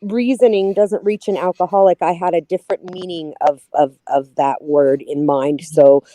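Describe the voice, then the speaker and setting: A woman's voice: lowish voice, fairly even intonation, moderate pace, warm tone, no echo, low-quality recording. A woman in recovery choosing her words carefully in a casual debate, often stammering as she clarifies. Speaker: recovering alcoholic.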